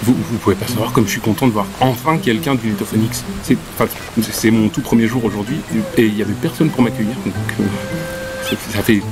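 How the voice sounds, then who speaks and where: A man's voice: lowish voice, a little fast, music in the background, lightly acted, poor audio. A man playing a nervous, eager young recruit on his first day, stammering and repeating words. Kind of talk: amateur audio drama acting.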